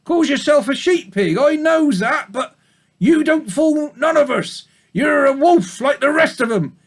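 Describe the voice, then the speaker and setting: A man voicing a grumpy old ewe with a hoarse, complaining voice and rustic grammar. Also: very high voice, expressive, a little slow, voice pushed up, full character voice. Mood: sour.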